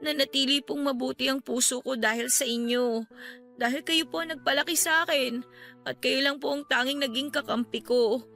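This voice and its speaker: A very high, bright young girl's voice, earnest and emotional. She is a devoted, respectful teenager in a radio drama, with music underneath. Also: fairly even intonation, moderate pace. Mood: pleading, tearful. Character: devoted, pleading teenage girl caring for her sick nanny. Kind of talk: Tagalog radio drama acting.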